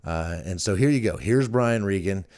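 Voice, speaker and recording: A man's voice: sits low, expressive, moderate pace, a little mellow. A man hosting a podcast solo in casual, chatty talk. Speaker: podcast host.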